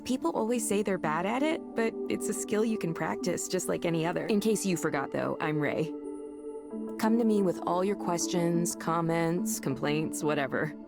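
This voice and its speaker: A confident, sociable woman manager from a visual novel game speaks in a slightly low voice. She sounds assured and conversational, with loud music behind her. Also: moderate pace, creaky. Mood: confident, welcoming. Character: busy, confident, sociable female counseling center manager.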